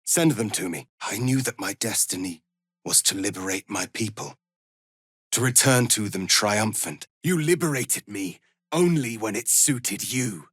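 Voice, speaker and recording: A man playing a proud, stern alien warrior prince in a fantasy video game, speaking formally and gravely with commanding, measured delivery. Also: lowish voice, animated, a bit bright, no room sound, studio quality, heavily acted. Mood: solemn, determined. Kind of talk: dramatic fantasy game dialogue.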